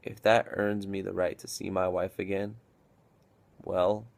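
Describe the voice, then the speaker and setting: A weary army captain, acted by a man, with a low voice full of creak. He speaks in a subdued, hesitant, confessional way, as in a reflective war film monologue. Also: flat delivery, measured pace.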